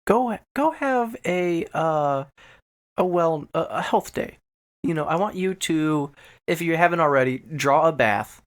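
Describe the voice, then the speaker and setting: A man's voice: on the high side, expressive, unhurried. A man co-hosting a podcast, bantering casually and joking with some swearing. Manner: profane, joking. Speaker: podcast co-host.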